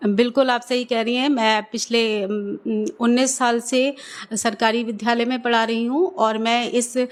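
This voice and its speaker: A middle-aged woman with a high voice speaks as a schoolteacher guest. She answers earnestly and persuasively, pausing now and then on fillers. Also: little pitch movement. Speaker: government school teacher, guest.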